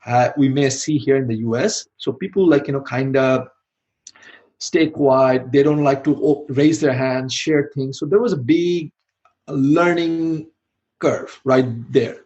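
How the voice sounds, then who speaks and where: Man, middle-aged, from Bangladesh, podcast guest, rambling, filler-heavy, mid pitch. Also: unhurried, darkish tone, highs cut off. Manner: rambling, many "you know" fillers and restarts. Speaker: podcast guest, IT manager and founder. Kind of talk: conversational interview answers.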